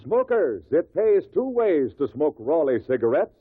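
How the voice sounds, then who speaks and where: Husky radio announcer guy, animated delivery, 1950s broadcast, tinny. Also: on the high side, unhurried, dry recording, soft background music. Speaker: commercial and network announcer. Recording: tinny, dry old radio recording.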